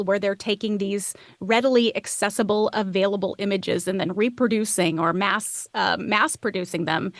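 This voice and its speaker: A woman's voice: medium pitch, no echo. Thoughtful woman with close, dry sound. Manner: reflective, thinking aloud, self-questioning. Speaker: podcast host as guest expert. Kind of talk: conversational interview answers.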